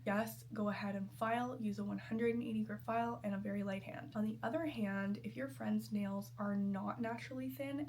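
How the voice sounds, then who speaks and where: A woman's voice: medium pitch, darkish tone, a little hiss or hum. A young woman, a nail technician, explaining step by step in a friendly way while sick and a little nasal. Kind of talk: explanatory tutorial talk.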